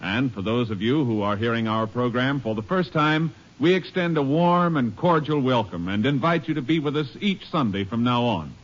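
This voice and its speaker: A man announcing a 1950s radio drama in a measured, formal way. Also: medium pitch. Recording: dull lo-fi old radio broadcast.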